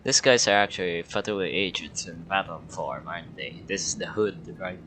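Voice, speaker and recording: A man's voice: low voice, moderate pace, a bit bright, a little hiss or hum. A man giving casual, joking commentary over his own game playthrough, with crude offhand remarks.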